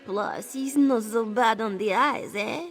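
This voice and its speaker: A woman's voice: a little high, takes it slowly, faint music behind. An actress voicing a playful, flirty female cat-folk wanderer in a video game, coy and teasing, talking about herself in the third person. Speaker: game character.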